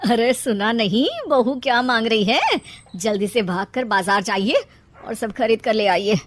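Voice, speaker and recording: Woman acting a high-pitched, fussy mother-in-law. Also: a little slow, natural voice. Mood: bossy. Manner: fussy, over-solicitous. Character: excitable middle-aged mother-in-law, fearful and fawning.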